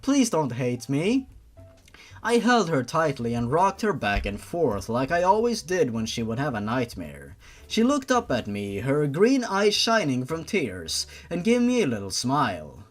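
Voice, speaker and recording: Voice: mid-range voice, wildly swooping pitch, normal speed, soft background music. A narrator reading fan fiction dramatically, with a theatrical, sarcastic manner. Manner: theatrical, sarcastic, sweary outbursts. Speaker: narrator and commentator.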